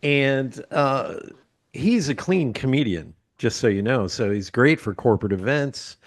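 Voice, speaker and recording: A man's voice: medium pitch, lively intonation, unhurried. Man hosting a casual interview. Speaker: interview host. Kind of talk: casual chat.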